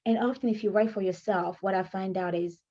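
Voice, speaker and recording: Mellow-voiced woman, native French speaker. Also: medium pitch, fairly even intonation, brisk, dull audio. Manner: chatty, self-correcting, laughs between points. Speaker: writing coach. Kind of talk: casual teaching talk to camera.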